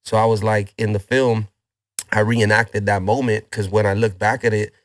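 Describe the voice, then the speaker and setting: Laid-back rapper from LA. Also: male voice, lowish voice, little pitch movement, moderate pace, dry recording, studio quality. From Los Angeles, Boyle Heights. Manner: laid-back street slang, many restarts. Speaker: rapper guest. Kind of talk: casual interview chat.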